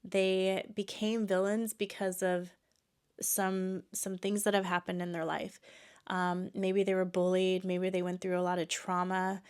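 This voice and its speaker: A woman's voice: on the low side, little pitch movement, a little slow, smooth. A woman in her thirties from Texas, congested from allergies, hosting a podcast in a chatty way with frequent fillers and restarts. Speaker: podcast host. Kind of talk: casual chat.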